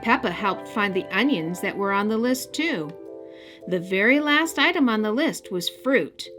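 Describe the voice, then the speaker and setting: A woman hosting a children's story time, narrating a scripted picture book in a deliberate, expressive style. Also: medium pitch, soft background music. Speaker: storyteller, host.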